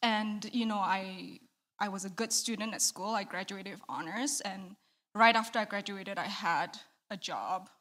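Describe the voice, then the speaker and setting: A woman in her thirties from Malaysia, giving a candid, soft-spoken testimony in an interview and laughing between thoughts. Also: mid-range voice, measured pace. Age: 30s. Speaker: church member giving testimony.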